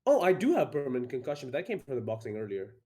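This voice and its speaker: A man's voice: mid-range voice, big pitch swings, rapid-fire, dark tone. A man in his twenties co-hosting a stream, teasing and swearing in casual banter. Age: 20s. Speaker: stream co-host.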